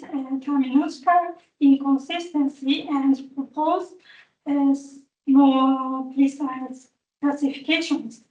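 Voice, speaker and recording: A woman presents at an academic conference in a high voice, taking her time and pausing on fillers as she works through each point. She sounds far from the mic, with the echo of a conference room around her and a dull, muffled tone. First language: Japanese. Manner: careful, halting, with frequent fillers. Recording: roomy, distant, dull recording.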